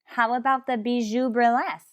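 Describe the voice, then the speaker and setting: A woman's voice: on the high side, steady tone, normal speed, fairly bright tone, natural voice. A woman playing a sensible, dry-witted tavern woman in an old-time radio comedy. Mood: reasonable, wry. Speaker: actor in a comedy radio play.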